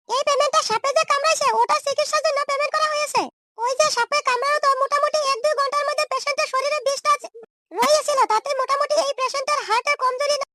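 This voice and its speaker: Chattering squeaky cartoon nurse. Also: female voice, background noise. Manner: fussy, reassuring, insistent. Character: caring, chatty young female hospital nurse. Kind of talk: comedy cartoon dub.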